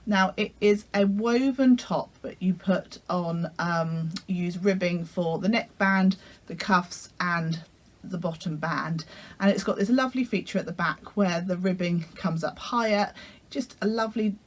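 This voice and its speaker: A woman with a croaky voice from a cold, chatting casually to camera as a sewing vlogger, friendly and full of ums. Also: fairly low pitch, unhurried.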